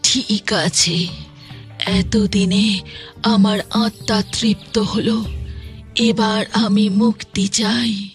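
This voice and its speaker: Woman as a slow, vengeful ghost witch. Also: mid-range voice, fairly even intonation, faint music behind. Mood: satisfied. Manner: slow, menacing, demanding. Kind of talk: cartoon voice acting.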